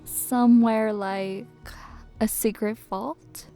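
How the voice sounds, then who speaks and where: High-voiced, pointed young woman, blunt friend acted. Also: steady tone, slow, over music. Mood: probing. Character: nosy, exasperated, blunt young female best friend. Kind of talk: Minecraft roleplay voice acting.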